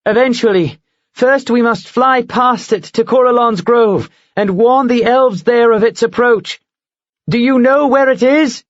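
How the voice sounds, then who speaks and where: A man's voice: very high-pitched, measured pace, no room sound, pitched above the performer's own voice. A man voicing a determined young woman who rides a giant eagle and gives urgent commands. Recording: dull lo-fi recording.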